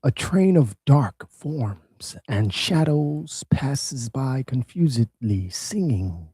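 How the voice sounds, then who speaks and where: Slow, husky male narrator. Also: medium pitch, very animated, dark tone, no echo, clean studio sound. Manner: measured reading of stage directions. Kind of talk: dramatic reading of verse drama.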